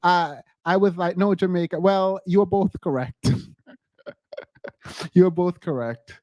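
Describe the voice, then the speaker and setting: A man's voice: high-pitched, slow pace, darkish tone, no room sound. A man hosting a casual chat, rambling with fillers and reading viewer comments. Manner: rambling with many fillers, laughs easily. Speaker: podcast host reading comments.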